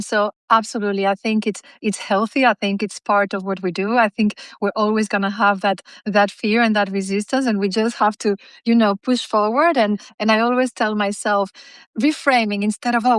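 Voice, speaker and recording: A woman's voice: medium pitch, dry recording. A woman whose first language is Spanish, answering interview questions in an earnest, reflective way with some fillers. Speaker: podcast guest, business writer.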